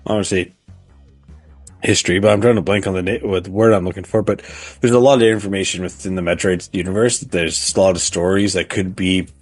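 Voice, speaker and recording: A middle-aged man co-hosting a casual podcast, speaking haltingly as he searches for words. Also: low-pitched, fairly even intonation, no echo, faint music behind. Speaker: podcast co-host. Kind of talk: casual chat about video games.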